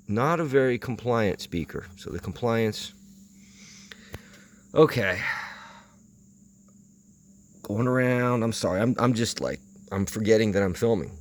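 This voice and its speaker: Low-voiced, gravelly man thinking aloud. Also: slight background noise. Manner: rambling, hesitant, muttering to himself. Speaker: vintage audio enthusiast presenting a video. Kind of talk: unscripted hands-on inspection commentary.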